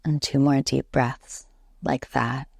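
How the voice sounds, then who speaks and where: Low, creaky-voiced woman guiding meditation. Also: flat delivery, dry recording, studio quality. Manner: soothing, unhurried, gentle instruction with long pauses. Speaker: yoga nidra instructor. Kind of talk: guided relaxation meditation.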